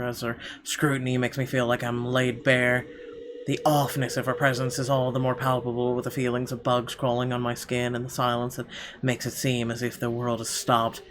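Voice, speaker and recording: A man's voice: mid-range voice, noisy background. A man reading first-person narration from a visual novel aloud in a dramatic storytelling style.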